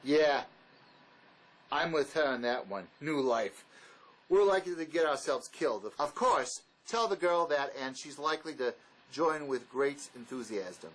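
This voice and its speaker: Bitter drifter on the run, played by a male actor: cutting sarcasm, highish voice, very animated drama delivery. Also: unhurried, low-quality recording. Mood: dismissive. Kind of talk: dramatic script reading.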